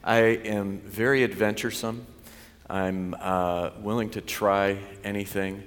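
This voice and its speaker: Middle-aged man, low voice, candid and self-deprecating testimony, long hall reverb. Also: little pitch movement, unhurried. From US Pacific Northwest. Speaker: college president. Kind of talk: personal testimony.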